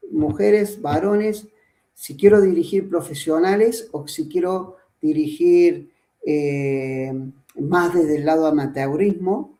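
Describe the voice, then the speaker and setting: Guest coach, Argentine (Córdoba) accent, middle-aged man, pitched a bit high, mellow; thinks aloud with lots of "eh"; roomy, echoey recording. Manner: explanatory, thinks aloud with many fillers and restarts. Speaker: guest, football coach and trainer. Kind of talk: podcast interview conversation. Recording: roomy echo.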